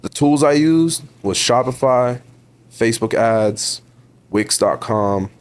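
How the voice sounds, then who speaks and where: A man's voice: mid-range voice, lively intonation, slow. A man in his twenties from Ontario with a Toronto accent, a podcast guest talking in an emphatic, motivational way, repeating words for stress and calling the host bro. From Ontario, Canada. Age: 20s. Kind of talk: casual interview talk.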